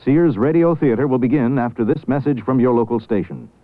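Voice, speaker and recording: A man's voice: medium pitch, lively intonation, a little fast. Male radio promo announcer, muffled old recording. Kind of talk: 1970s radio drama promo. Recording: dull lo-fi 1970s radio recording.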